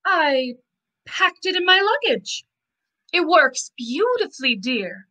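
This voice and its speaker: A young actress playing a scheming, sugary gold-digger bride in a theatrical, cooing old-time radio mystery style. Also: female voice, high-pitched, sing-song, slow, bright, forceful tone, exaggerated voice. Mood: sugary menace. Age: young adult. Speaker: actor. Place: indoors, dark backdrop. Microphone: video call.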